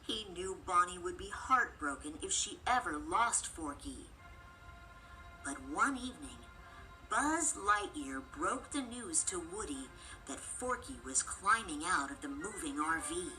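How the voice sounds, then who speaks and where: A woman narrating a children's story as an expressive scripted read-aloud. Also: on the low side, a little slow, soft background music, low-quality recording.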